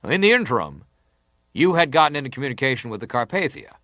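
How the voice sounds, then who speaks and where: An actor playing a formal, persistent senator who questions a witness deliberately in a dramatised hearing. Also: male voice, medium pitch, very animated, a bit bright, no room sound, studio quality. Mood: probing. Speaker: interrogator at a hearing. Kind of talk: dramatised reading of hearing testimony.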